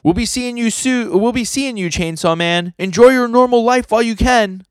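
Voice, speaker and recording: A man's voice: very high-pitched, moderate pace, smooth, no room sound, clean studio sound, pitched above the performer's own voice. A man voicing a smug, breezy male cult villain from a manga, with a taunting manner. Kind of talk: manga dialogue read aloud.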